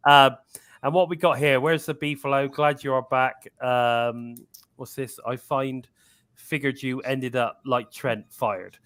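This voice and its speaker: A man's voice: medium pitch, steady tone, a bit bright, smooth. Upbeat man with a cough. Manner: upbeat, chatty, drawn-out fillers. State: lingering cough. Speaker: podcast host. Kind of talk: casual live-stream chat.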